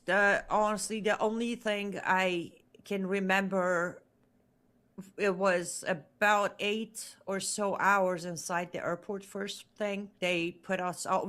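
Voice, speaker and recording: A woman's voice: medium pitch, sharp tone. A woman whose first language is Farsi, a guest telling her own story in an interview with earnest, emphatic speech that repeats words for stress. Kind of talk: interview testimony.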